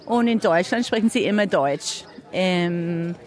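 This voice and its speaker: A woman's voice: lowish voice, unhurried. Woman speaking hesitant learner German. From Australia. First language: English. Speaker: interviewed parent. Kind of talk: casual interview answers.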